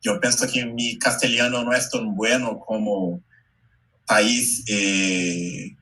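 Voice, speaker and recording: A Brazilian man whose first language is Portuguese, speaking slowly and haltingly in Spanish mixed with Portuguese, giving a talk over a video call. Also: lowish voice, steady tone, sharp tone, poor audio. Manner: slow, careful, halting Portuguese-Spanish mix with many pauses. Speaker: invited speaker, educator.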